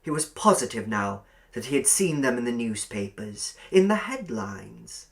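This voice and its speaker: A man's voice: medium pitch, sing-song, normal speed, vocal fry, a bit bright. A man reading a short story aloud as a scripted audiobook narrator.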